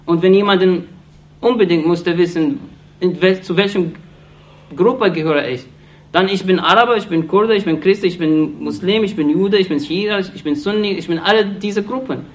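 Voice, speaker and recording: A man from Iraq gives an impassioned political speech in halting German, restarting phrases often and sounding earnest and accusatory. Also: sits high. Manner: halting non-native German, frequent restarts and fillers. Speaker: speaker addressing an audience.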